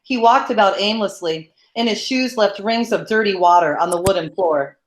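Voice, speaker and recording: A woman narrating a novel in a steady, measured read-aloud. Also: medium pitch, slight background noise. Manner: steady, even, measured reading. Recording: roomy echo.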